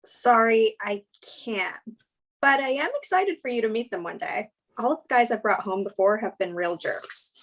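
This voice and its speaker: A woman playing a frank twenty-seven-year-old girlfriend in a screenplay table read, speaking in a dry, deadpan way. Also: on the high side, normal speed, poor audio.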